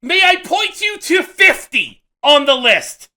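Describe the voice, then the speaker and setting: Halting, slow-talking game master. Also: male voice, extremely high pitch, sing-song, bright, forceful tone. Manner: halting, restarts, nervous explaining. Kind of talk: explaining house rules in a tabletop game.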